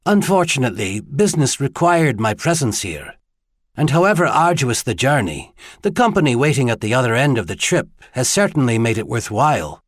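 Gallant tenor gentleman, voiced by a male narrator. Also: medium pitch, very animated, brisk, no room sound, clean studio sound. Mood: gallant, amused. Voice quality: pleasant tenor. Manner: gallant, courtly, polite. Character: charming, gallant, well-dressed gentleman visitor, faintly impish. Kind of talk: audiobook character dialogue.

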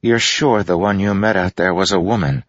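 A man voicing a quiet, curious young nobleman in an audiobook, asking questions. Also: low-pitched, moderate pace, dry recording, dull audio, pitched above the performer's own voice, close to an everyday voice.

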